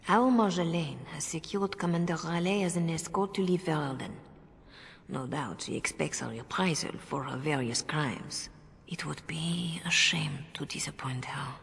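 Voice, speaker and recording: Game casting: a composed, worldly chantry mother, low and measured. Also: gravelly, fairly bright tone, in a large hall. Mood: calm, grave. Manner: measured, formal. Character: composed, wry, worldly middle-aged revered mother of a chantry. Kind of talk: dramatic game dialogue. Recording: faint background noise.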